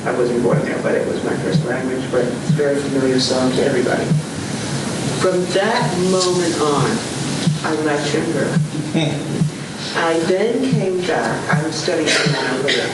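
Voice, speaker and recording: A man's voice: fairly high pitch, lively intonation, normal speed. A man from the audience, a native German speaker, telling a story from the floor. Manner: anecdotal, hums a tune. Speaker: audience member. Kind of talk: question from the floor. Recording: distant mic in a very echoey hall with loud noise.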